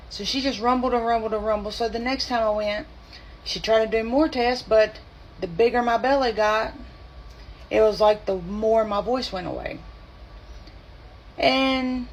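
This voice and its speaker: A woman's voice: a little high, muffled recording, a little hiss or hum. A woman with a strained, choppy voice, telling her personal story to camera in short, blunt bursts. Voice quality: strained, choppy voice from spasmodic dysphonia. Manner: blunt, indignant, talks in short halting bursts. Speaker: vlogger.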